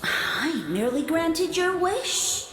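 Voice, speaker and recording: Haughty, echoing mushroom spirit. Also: female voice, very high-pitched, expressive, slow, a bit bright, heavily acted. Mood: imperious, intrigued. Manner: pompous, disdainful, formal. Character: haughty, imperious ancient mushroom spirit, regal and condescending.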